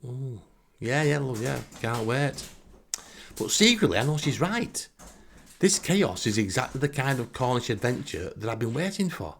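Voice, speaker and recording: A man's voice: medium pitch, very animated, moderate pace, gravelly. A man with a Northern English accent telling comic first-person stories as a podcast host, with a wry, sarcastic manner. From northern England.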